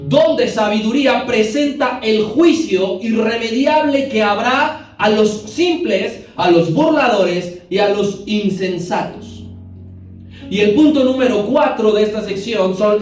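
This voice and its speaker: A man with a very high voice teaches a Bible class, explaining each verse and turning to his listeners with questions. His voice rings through a hugely echoing hall, picked up from some distance. Faint music sits underneath.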